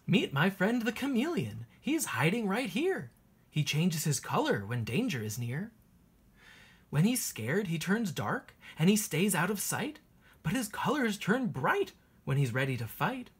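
A woman teacher reading a children's picture book aloud in an expressive, sing-song way, with a husky voice. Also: on the low side, a little slow.

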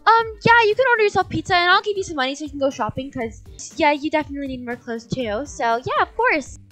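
A kind adoptive mother, played by a woman in a game roleplay. She speaks in a high, chipper, accommodating way over faint music. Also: expressive, fast, bright, forceful tone. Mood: placating. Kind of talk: improvised video game roleplay.

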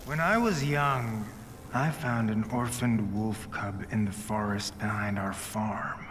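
An actor playing a grandiose, coldly self-righteous scientist villain in a video game, deliberate and ominous. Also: male voice, on the low side, a little hiss or hum. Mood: cold, brooding. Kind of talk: video game cinematic acting. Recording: distant voice under loud music.

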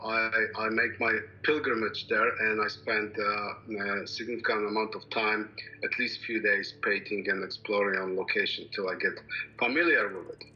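Bright, forceful man with Polish accent. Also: lowish voice, lo-fi sound. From Poland. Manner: halting, searching for words. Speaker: landscape painter, interviewee. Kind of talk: reflective personal account of working methods.

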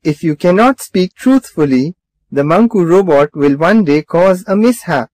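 A man's voice: sits high, animated, a little slow, darkish tone, no room sound. An actor playing a stern customer service man at a robot company, formal and firm, in a children's cartoon.

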